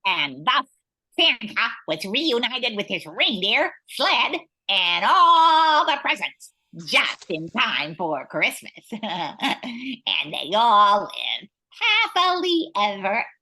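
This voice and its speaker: Lady narrator for a radio play, bright but husky, slow and expressive. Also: medium pitch, exaggerated voice. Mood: storytelling. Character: storybook narrator, easily exasperated.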